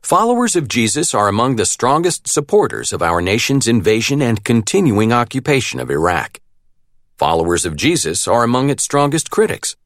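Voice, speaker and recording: A man reading a nonfiction audiobook as narrator, with a measured, articulate delivery. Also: medium pitch, no echo, studio quality.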